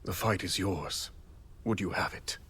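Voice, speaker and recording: A man playing a grave, regal king in a video game cutscene, speaking in a measured, formal way. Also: low-pitched, fairly bright tone, slightly rough, whispered, full character voice. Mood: resolute. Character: solemn, regal king burdened by doubt.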